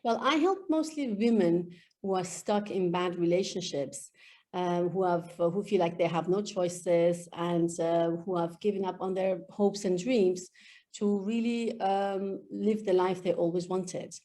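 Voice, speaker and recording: Expressive woman with a Farsi-speaking background. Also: lowish voice, unhurried. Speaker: EFT practitioner, guest. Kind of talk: conversational interview answers.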